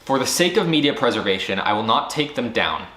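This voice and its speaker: A man's voice: mid-range voice, sing-song, brisk, fairly bright tone. A man who founded a studio gives a firm, earnest year-end recap, speaking directly to fans. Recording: roomy echo.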